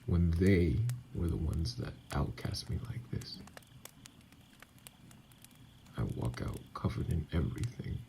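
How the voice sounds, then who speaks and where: Lonely self-loathing vampire played by a male actor, very deep and heavily creaky, halting and breathy, for an ASMR audio drama. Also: warm tone, a little hiss or hum. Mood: bitter self-loathing. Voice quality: gravelly, breathy, often dropping to a whisper. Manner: slow, halting, brooding delivery. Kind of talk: ASMR roleplay monologue.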